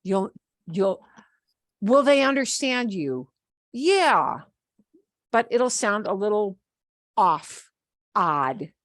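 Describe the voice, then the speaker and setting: Woman, Midwestern accent, teacher, slow speech, dry close sound, video call. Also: mid-range voice, sing-song, dry recording. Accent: American Midwestern. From American Midwest. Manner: patient, explains slowly with restarts and fillers. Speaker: Spanish teacher. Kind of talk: online class lesson.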